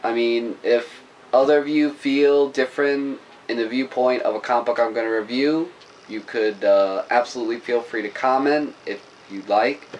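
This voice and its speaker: A man's voice: mid-range voice, normal speed, rough recording. A man introducing comic reviews in an easygoing, hesitant way, recorded on a home camera in a bedroom. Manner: easygoing, hesitant, lots of fillers and agreement. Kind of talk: casual comic book review chat. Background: faint background noise.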